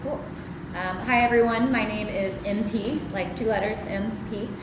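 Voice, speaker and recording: A woman's voice: mid-range voice, normal speed. An American woman giving an informal talk to an audience as a guest speaker. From United States. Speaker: guest speaker, tech business expansion. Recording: echoey room with clear background noise.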